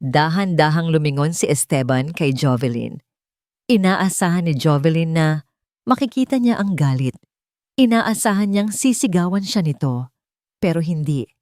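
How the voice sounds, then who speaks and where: A woman narrates a dramatic love story as a scripted piece. Her voice sits on the low side for a woman. The sound is very dry, with no room around it. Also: darkish tone, no echo.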